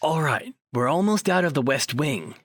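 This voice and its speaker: Guy acting young cocky ghost hunter, sarcastic and bossy, very animated, audio drama. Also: on the high side, moderate pace, dry recording. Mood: urgent. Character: young, wry, self-assured male paranormal investigator.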